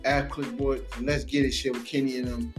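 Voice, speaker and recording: An American man hosting a casual podcast, reminiscing in animated, slangy talk and swearing freely. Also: mid-range voice, moderate pace, faint music behind. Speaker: podcast host.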